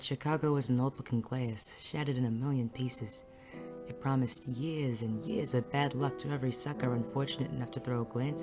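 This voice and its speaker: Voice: medium pitch, natural voice. An actor playing a weary, cynical hard-boiled private detective in a noir audio drama, wry and sardonic. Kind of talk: 1940s noir audio drama acting and narration.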